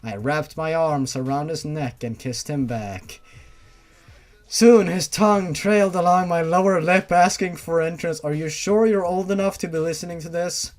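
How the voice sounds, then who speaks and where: A man's voice: on the high side, steady tone, moderate pace, darkish tone, soft background music. A man giving a theatrical, exaggerated comedic reading of fan fiction as narrator, breaking in with his own asides. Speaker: story reader.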